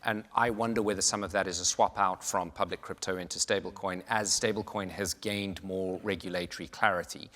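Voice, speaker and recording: A man from South Africa speaking on a conference panel, explaining points in a measured way with frequent fillers. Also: low-pitched, fairly even intonation, a bit bright. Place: conference hall. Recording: hall reverb.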